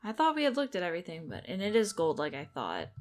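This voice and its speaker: A woman's voice: on the low side, wildly swooping pitch, fast. A woman giving casual gameplay commentary, thinking aloud as she goes. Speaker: video game streamer. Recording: game music behind the voice.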